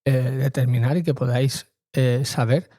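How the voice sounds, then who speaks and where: A man's voice: medium pitch, fairly even intonation, normal speed, dark tone, no echo, clean studio sound. A middle-aged man hosting a podcast in an informal monologue, speaking hesitantly and repeating words for emphasis. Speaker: podcast host.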